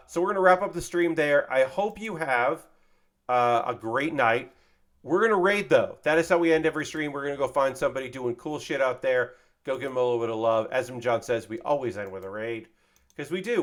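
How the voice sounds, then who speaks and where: A man's voice: mid-range voice, brisk. A man casually chatting on a livestream while building a model kit, loose and profane, tired with a slightly worn voice. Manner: loose and profane, lots of fillers.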